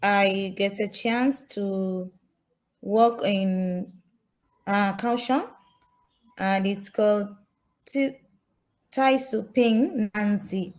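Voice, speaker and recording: A young woman from Tanzania presents her slides at a slow, unhurried pace. She pauses often and restarts her sentences, and her is rather than English. She speaks at a mid pitch, like a student giving a talk. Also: fairly even intonation, a little mellow, rough recording. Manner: halting, many fillers and restarts.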